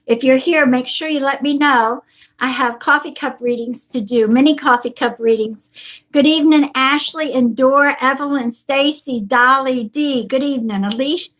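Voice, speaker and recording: High-voiced lady reading cards on a live stream, slow and unhurried, stuffy from a cold. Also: low-quality recording. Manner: slow, warm greetings, calls viewers honey and sweetheart. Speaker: psychic reader on a live stream. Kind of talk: live stream greeting viewers. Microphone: device on a table.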